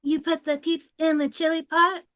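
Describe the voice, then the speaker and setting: A woman's voice: very high-pitched, little pitch movement, normal speed, fairly bright tone, dry recording, studio quality. A woman playing an unhinged, manic male professor in a comedy table read. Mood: manic, singsong.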